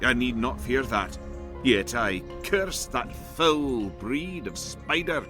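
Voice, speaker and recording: A man performs a solemn, loyal dwarf servant from a fantasy tale, lifted above his narrating voice to a middle pitch. The speech is formal and grave, with clear music behind it. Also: slow pace, natural voice. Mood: grave, confident. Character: serious, loyal dwarf chief servant and guard.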